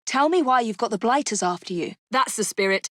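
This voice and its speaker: A woman acting as a young Victorian assassin in a video game, with an English accent and crisp, formal, composed diction. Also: on the high side, lively intonation, brisk, a bit bright, dry recording, clean studio sound. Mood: dry, polite. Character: young female Victorian assassin, brisk, poised and determined. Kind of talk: scripted game character dialogue.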